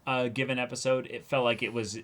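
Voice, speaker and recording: A man chatting casually as co-host of a reaction show, slipping into animated asides as he talks. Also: medium pitch, brisk. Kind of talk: casual chat, episode discussion.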